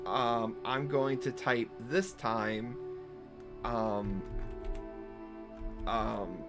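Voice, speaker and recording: Slow-talking man in joking game commentary. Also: medium pitch, fairly even intonation, over music. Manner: incredulous, joking, halting while typing. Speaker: streamer playing an AI storybook game. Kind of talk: casual playthrough commentary.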